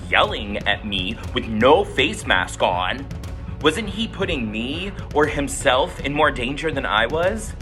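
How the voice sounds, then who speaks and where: Guy doing a petty indignant neighborhood driver, mock-dramatic reading, very animated, bit of music behind. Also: mid-range voice, pitched above the performer's own voice. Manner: theatrical, aggrieved, emphatic. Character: indignant, self-righteous suburban driver airing a petty grievance.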